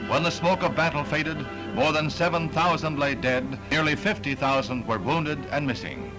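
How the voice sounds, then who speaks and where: 1960s American newsreel man over loud music. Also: on the high side, lively intonation, normal speed, low-quality recording. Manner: formal, declamatory announcer delivery. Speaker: newsreel narrator. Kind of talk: 1960s newsreel narration, scripted. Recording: 1960s film newsreel soundtrack.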